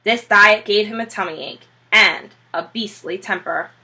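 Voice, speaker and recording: A woman reading a children's book aloud as a teacher, expressive and emphatic, with brief asides to listeners. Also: mid-range voice, a little slow.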